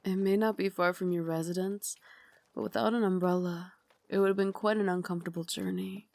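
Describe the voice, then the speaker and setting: Victorian vampire lady, female actor, ASMR roleplay. Refined, formal, caring soft dominance; slightly deep voice, very dry sound. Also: fairly even intonation, fairly quick, gravelly, no echo. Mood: gracious, inviting. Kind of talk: ASMR roleplay, slow intimate scripted acting.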